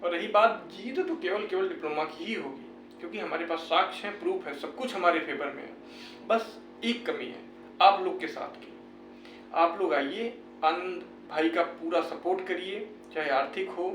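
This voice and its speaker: A man's voice: high voice, a bit bright. A man with a north Indian accent from Uttar Pradesh giving an informal, explanatory update to viewers, earnest and repeating phrases for emphasis. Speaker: YouTube host giving exam recruitment updates. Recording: roomy echo, distant mic, music behind.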